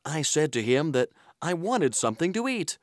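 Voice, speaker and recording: An actor playing an earnest, polite traveler who tells his adventure in an expressive children's story style. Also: a little high, moderate pace, no echo, studio quality. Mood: earnest storytelling. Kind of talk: scripted children's story narration in character. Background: music.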